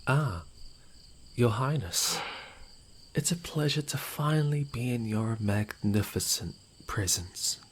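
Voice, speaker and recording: Casting: actor as suave male rival, slow mocking drawl, mid-pitched, theatrical false courtesy, fantasy audio drama, faint insect-filled night noise. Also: lively intonation. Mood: mocking, flattering. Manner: sardonic, mock-courteous, drawn-out. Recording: noisy night ambience with insects.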